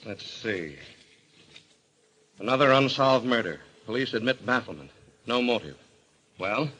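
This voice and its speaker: Doubting brother in old radio mystery, bright punchy medium voice, plain and questioning. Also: male voice, medium pitch, expressive, measured pace, husky, heavily acted. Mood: puzzled. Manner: matter-of-fact, questioning. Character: sceptical, down-to-earth brother of frightened woman. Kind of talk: old-time radio drama acting.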